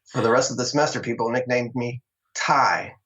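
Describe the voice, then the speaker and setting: A man's voice: mid-range voice, fairly even intonation, brisk, noisy background. A middle-aged man from Long Island telling stories about his acting start in a casual interview, chatty and laughing often. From Long Island, New York. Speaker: interview guest, actor. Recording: dull, lo-fi sound.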